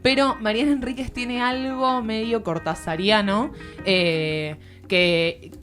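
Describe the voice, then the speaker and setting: A woman with a Buenos Aires area Argentine accent giving a conversational book commentary at a studio microphone, reflective and informal, searching for words as she explains. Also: a little high. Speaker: literary columnist on a radio show. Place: radio studio.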